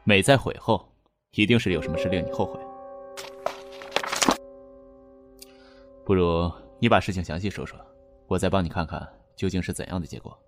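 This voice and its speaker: A man acting as a calm, shrewd, enigmatic fortune teller in a radio suspense drama, speaking in measured, cryptic phrases. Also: sits low, soft background music. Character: calm, enigmatic, shrewd male fortune teller who reads written characters.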